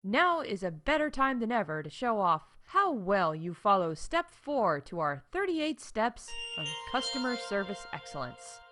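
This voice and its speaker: A woman's voice: medium pitch, very animated, unhurried, hardly any pauses, fairly bright tone, faint music behind. A woman playing a chatty, wry theme park staff announcer in a scripted comedy podcast, emphatic and full of conversational asides. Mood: brisk, wry.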